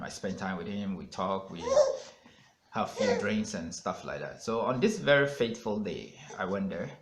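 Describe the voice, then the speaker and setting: A man from Ghana co-hosting a family vlog, telling a story casually with a relaxed manner and restarts, filmed on a phone. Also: low-pitched, echoey room, slight background noise. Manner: relaxed, with many mm-hmms and restarts. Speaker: vlog co-host. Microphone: phone camera.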